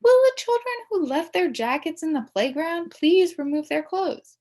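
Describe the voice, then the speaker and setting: A woman voicing a school secretary who makes drawn-out, emphatic loudspeaker announcements in a crackling voice for a children's storybook. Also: very high-pitched, sing-song, voice pushed up. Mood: bright announcing. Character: Halloween-loving female school secretary making loudspeaker announcements.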